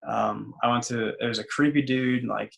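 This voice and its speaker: A man in his twenties with a raspy voice, a singer and former ballplayer chatting loosely as an interview guest, full of fillers and restarts. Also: medium pitch, steady tone, normal speed, a bit bright. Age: 20s. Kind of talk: casual interview chat.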